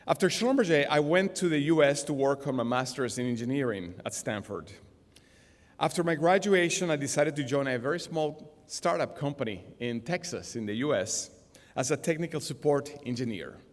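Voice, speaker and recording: A middle-aged man with a Venezuelan Spanish accent gives a formal commencement speech in a measured, deliberate way at a podium. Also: mid-range voice, lively intonation. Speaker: graduation speaker, business executive. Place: large indoor auditorium stage. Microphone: podium gooseneck microphones. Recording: long hall reverb from auditorium PA.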